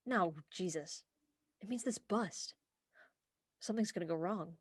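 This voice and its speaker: A young woman acting in a comic radio play as an earnest, headstrong police officer, brisk and argumentative. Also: lowish voice, breathy edge, dry recording, clean studio sound. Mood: exasperated. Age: young adult.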